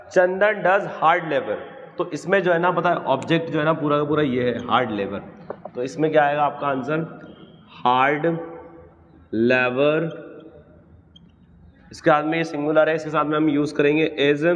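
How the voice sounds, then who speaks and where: An Indian man gives a grammar lesson in a fairly high voice. He is didactic, posing questions and then answering them himself. The recording is dull and lo-fi, with long room reverb around the voice. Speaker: English grammar teacher. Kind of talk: classroom-style grammar lesson. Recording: echoey room, dull lo-fi sound.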